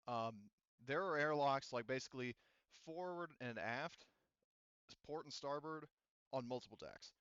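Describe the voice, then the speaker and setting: Guy running an rpg online, clear voice, kinda rambly, bit dull dry audio. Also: medium pitch, fairly bright tone, dry recording. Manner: rambling, self-correcting. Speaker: game master. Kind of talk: casual online tabletop game running.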